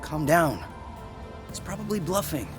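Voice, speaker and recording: A man's voice: a little high, expressive, a little slow, music in the background. A man playing an easygoing, reassuring young man in a video game, speaking casually and earnestly with a tentative, hopeful touch. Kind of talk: video game cutscene acting.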